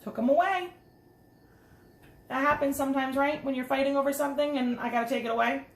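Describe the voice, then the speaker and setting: High-voiced woman reading a picture book, roomy sound. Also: a little fast. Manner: expressive, simple explaining, asking children questions. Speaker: preschool teacher. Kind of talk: children's picture book read-aloud with chatty asides.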